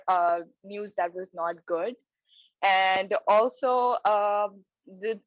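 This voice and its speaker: A woman's voice: medium pitch, no room sound, lo-fi sound. A young woman from Pakistan, a residency applicant, answering mock interview questions earnestly with frequent hesitant fillers and restarts over a video call.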